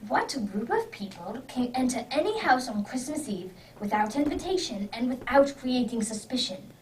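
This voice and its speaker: A woman playing a brisk, impatient, clever male detective with theatrical emphasis in an amateur radio drama. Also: high voice, very animated. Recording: distant microphone, background noise.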